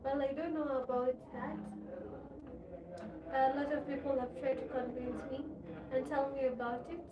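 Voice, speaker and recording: High-voiced Kenyan woman, echoey and noisy. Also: level tone, moderate pace, dull audio, a crowd in the background. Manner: rambling, repeats phrases and asks rhetorical questions. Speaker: livestream host talking to her subscribers. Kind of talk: casual livestream chat. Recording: echoey, distant mic, loud background noise.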